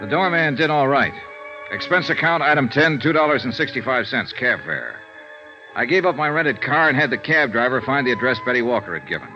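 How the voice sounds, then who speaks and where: An actor playing a cool, terse insurance investigator in a vintage radio noir, speaking and narrating in a dry, matter-of-fact way. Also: male voice, medium pitch, very animated, brisk, highs cut off, close to an everyday voice. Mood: dry narration. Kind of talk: 1950s radio noir acting and first-person narration. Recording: vintage radio recording, band-limited, music behind.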